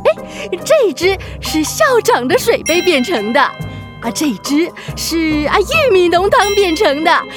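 A woman's voice: very high voice, very animated, a little slow, over music, exaggerated voice. A woman voicing a kindly, gushing witch aunt who runs a circus, in a children's radio drama. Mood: proud, chatty. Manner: gushing, chatty, full of exclamations. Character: kindly, bubbly witch aunt, frog-doting circus ringleader.

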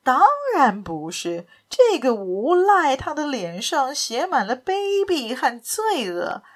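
Brief: woman voicing a commanding gentleman thief, lively, deliberate. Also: fairly high pitch. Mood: accusing. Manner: assured, declamatory. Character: suave male gentleman thief, confident and commanding.

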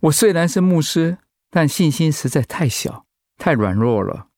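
A man's voice: fairly high pitch, very animated, normal speed, darkish tone, no room sound, clean studio sound. A man acting in a radio drama as a humble, anguished pastor and devoted husband, speaking in a heartfelt, sighing way. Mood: humbled, anguished.

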